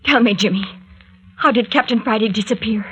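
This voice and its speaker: High-voiced frightened young radio heroine. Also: female voice, a little fast, a bit bright, slightly rough. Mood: panicky, dread. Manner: breathless, melodramatic, pleading. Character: frightened, insistent, injured young woman in peril. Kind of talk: 1940s radio serial acting. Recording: vintage 1940s radio recording.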